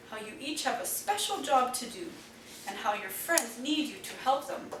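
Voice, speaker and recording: A woman reads a children's story aloud with deliberate expression. Her voice is high and lively in pitch, and she takes her time. The recording is roomy. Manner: deliberate, addressing young children. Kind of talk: expressive picture-book read-aloud. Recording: roomy, distant mic, faint noise.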